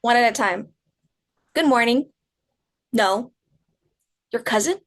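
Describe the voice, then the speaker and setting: A woman's voice: fairly high pitch, takes it slowly, fairly bright tone. A woman playing young female characters, a chef and a sister, at a drama script table read. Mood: exasperated.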